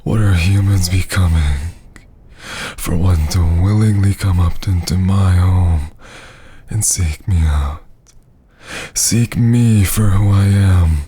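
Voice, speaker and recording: A man playing a haughty, menacing vampire lord in an ASMR roleplay, breathy and husky, often dropping to a whisper, with theatrical archaic phrasing. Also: sits low, warm tone, full character voice. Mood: seductive, imperious.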